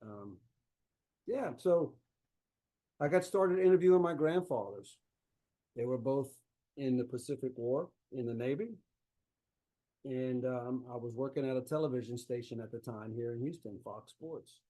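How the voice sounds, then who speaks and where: Man, South Louisiana accent, folksy conversational lecture, mellow voice, laptop microphone. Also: medium pitch, moderate pace, pauses often. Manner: folksy, easygoing storytelling. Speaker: author and historian giving a talk. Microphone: laptop microphone on a video call.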